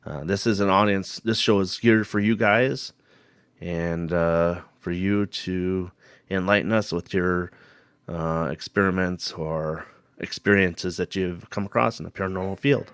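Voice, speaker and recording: A man hosting a paranormal live stream, hoarse from a cold, rambling casually and greeting viewers by name. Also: low voice, steady tone, a little slow, a bit bright, dry recording.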